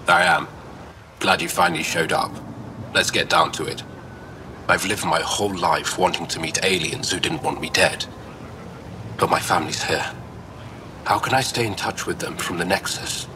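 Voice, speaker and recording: A man voicing a gruff, blunt alien man in a video game, speaking in terse phrases. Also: low-pitched, normal speed, pauses often, background noise, lightly acted. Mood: blunt, guarded.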